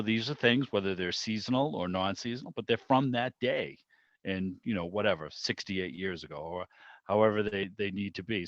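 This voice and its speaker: A man's voice: low-pitched, dry recording. A middle-aged man hosting a club meeting over a video call, chatting casually in a rambling, discursive way. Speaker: club meeting host, interviewer. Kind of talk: casual conversational commentary. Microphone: video call microphone. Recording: dull lo-fi video call audio.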